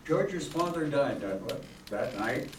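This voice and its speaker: A man's voice: mid-range voice, expressive, slow. An older man acting as plain-spoken storytelling narrator in an amateur radio play. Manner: explanatory, storytelling. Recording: echoey room, distant mic, faint noise.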